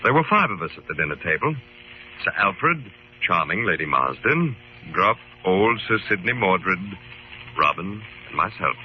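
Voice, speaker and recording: A calm, authoritative hero leading a mystery club. His delivery is formal and measured but very expressive, in old radio drama style. The broadcast is muffled with a light hiss. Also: sits low. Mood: storytelling. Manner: formal, measured, clearly enunciated. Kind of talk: 1940s-50s radio drama acting and narration.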